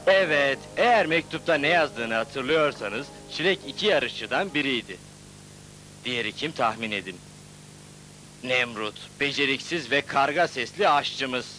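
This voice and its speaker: Storybook cartoon narrator: bright, animated, teasing, faint music behind. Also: male voice, mid-range voice, a little slow, rough recording. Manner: addresses viewers, teasing.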